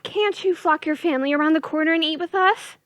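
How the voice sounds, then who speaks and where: An actress playing a scatterbrained young debutante daughter in a stage comedy, flustered and pleading in manner. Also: female voice, sits high, quick pace, fairly bright tone, no room sound. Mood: breezy, polite. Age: young adult.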